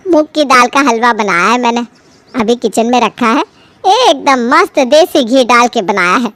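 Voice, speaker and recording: A woman's voice: on the high side, lively intonation, moderate pace, fairly bright tone, no echo, pitched below the performer's own voice. A woman voicing a dutiful middle-aged mother who speaks obligingly and proudly of her rich cooking.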